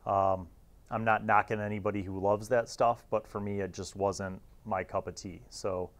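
A man's voice: on the low side, little pitch movement, normal speed. A middle-aged man hosting a casual review to camera, talking in a rambling, reflective way. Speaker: video host and reviewer.

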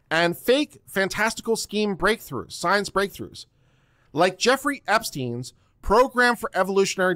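Theatrical middle-aged man, lively pitch. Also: no room sound, studio quality. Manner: theatrical, emphatic, declamatory. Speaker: host of a legal commentary livestream. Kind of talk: dramatic reading of a text aloud. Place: home studio room, close and dry. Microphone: desk microphone close to the mouth.

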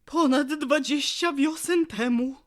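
A woman playing a grieving, vengeful willow tree in an audio drama, with a tearful, theatrical delivery. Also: sits high. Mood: mournful, wistful. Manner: theatrical, tearful, drawn-out lament. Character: weeping willow tree, grieving, vengeful and bitter female spirit.

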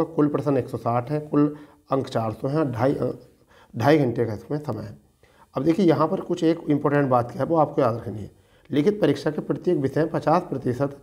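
Male exam-coaching presenter, medium pitch, instructive, reads notices aloud and repeats the key points. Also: a little mellow. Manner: instructive, addresses viewers as friends, repeats points for emphasis. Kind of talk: explanatory exam update, reading a notice aloud and commenting.

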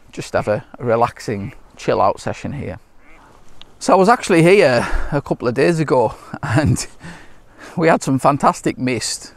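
A middle-aged British man chatting casually to camera outdoors in a relaxed, unscripted, slightly hesitant way. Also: medium pitch, vocal fry, dry recording. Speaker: landscape photography vlogger. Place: outdoors by a lake shore.

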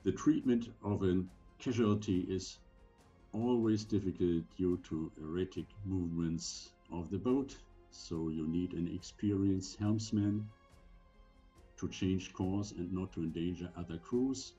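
An older man, around seventy, giving an instructional slide presentation in measured, deliberate short phrases, recorded indoors on a computer microphone. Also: low-pitched, steady tone, warm tone, dull audio, faint music behind. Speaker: presenter giving medical advice for sailors.

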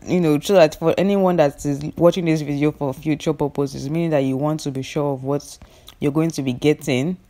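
A woman's voice: low-pitched, mellow. A young woman chatting casually into her phone camera from an airplane seat, reviewing her flight. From Nigeria. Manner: chatty, conversational, self-correcting. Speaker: travel vlogger. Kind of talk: casual vlog commentary. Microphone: phone camera held close.

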